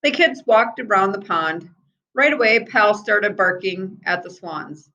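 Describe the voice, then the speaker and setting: Woman, mid-pitched, slow pace, expressive children's read-aloud, storyteller narration. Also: lo-fi sound. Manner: expressive, acts out the children's dialogue.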